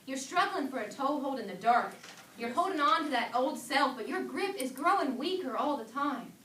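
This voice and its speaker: A woman's voice: high-pitched, lively intonation, moderate pace, pushed and bright. Echoey, distant woman preaching dramatically. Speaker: student performer reading a dramatic sermon. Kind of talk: dramatic staged reading, preaching. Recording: echoey distant hall, faint background noise.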